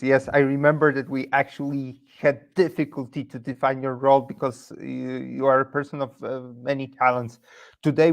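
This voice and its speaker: A man, hosting an online interview formally and pausing often with "uh". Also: medium pitch. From Poland. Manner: formal, hesitant with "uh" fillers. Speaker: webinar host.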